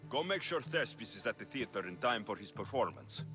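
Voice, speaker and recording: An actor playing a weary, determined young Greek mercenary in a video game, blunt and earnest. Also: male voice, medium pitch, very animated, fairly quick, music in the background, close to an everyday voice. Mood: curt, impatient. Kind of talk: cinematic game acting.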